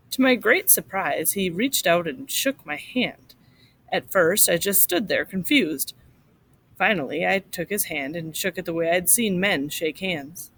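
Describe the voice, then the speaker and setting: A woman reading a short story aloud to children in an expressive, instructive way, like a teacher. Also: fairly low pitch.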